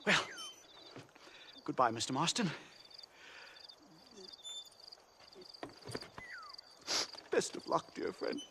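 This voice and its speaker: An actor playing a pompous, excitable, prissy academic in a video game, with an affected, haughty manner. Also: male voice, on the high side, moderate pace, noisy background. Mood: fond farewell. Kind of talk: video game cutscene acting.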